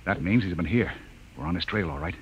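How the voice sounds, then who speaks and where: An actor playing a commanding, weary spaceship captain with clipped orders in an old science fiction radio drama. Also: male voice, low-pitched, quick pace, lightly acted. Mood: brisk, commanding. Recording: dull, noisy old radio recording.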